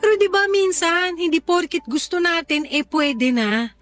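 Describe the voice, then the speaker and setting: Very high female voice, Filipino accent, actress as scheming pleading girlfriend, animated, radio drama, faint music behind. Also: moderate pace. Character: pleading, scheming first girlfriend in a polyamorous household. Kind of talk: Tagalog radio drama acting.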